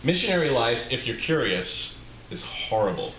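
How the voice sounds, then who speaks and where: Middle-aged American male, wry live storyteller, forceful bright tone, roomy venue with faint noise. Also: medium pitch, sing-song, normal speed. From Utah, USA. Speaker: reading series host, memoirist. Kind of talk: live reading of a personal essay, with spoken introduction. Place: small indoor bar room with brick walls. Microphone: handheld-style microphone on stand, close.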